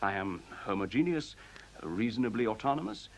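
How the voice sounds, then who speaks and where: Witty, animated talking computer. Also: male voice, lowish voice, a little fast, poor audio. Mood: reflective, wry. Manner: mischievous, teasing, articulate, formal. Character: witty, urbane male talking intelligent computer. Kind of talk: radio play acting. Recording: faint hiss on radio play recording.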